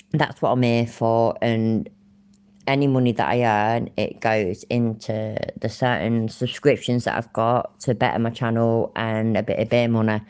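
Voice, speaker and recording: A woman's voice: extremely low pitch, dark tone, clear, resonant tone. A woman with an English Midlands accent, chatting casually to her live-stream audience; she rambles, swears freely and laughs often.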